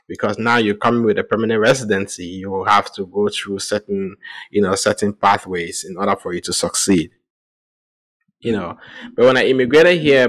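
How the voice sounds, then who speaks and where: A man's voice: lowish voice, fairly bright tone, poor audio. A man in his thirties hosting a podcast alone, talking casually in a rambling way with frequent restarts and "you know" fillers. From Cameroon. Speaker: podcast host. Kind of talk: casual solo podcast monologue.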